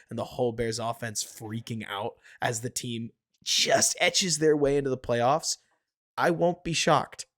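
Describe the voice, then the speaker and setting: A man hosting a casual sports podcast, talking excitedly and building his case with rhetorical questions. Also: medium pitch. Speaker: podcast host. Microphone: home podcast mic.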